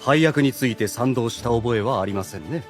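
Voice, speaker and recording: A man playing a suave, calculating demon retainer in an anime audio drama, speaking with formal courtesy and measured persuasion. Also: mid-range voice, faint music behind, close to an everyday voice. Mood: smooth, reasoning.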